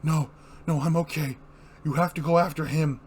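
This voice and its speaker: A man's voice: on the high side, fairly even intonation, moderate pace, breathy edge. A man playing a shaken but principled police commissioner in a comic book dramatic reading, anguished and insistent.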